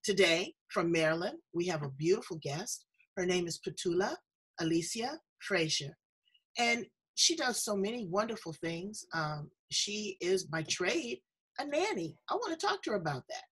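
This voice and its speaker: A woman hosting a conversational interview, talkative, effusive and playful, with a husky voice. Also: on the low side, very animated, normal speed, a bit bright. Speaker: show host interviewing a guest.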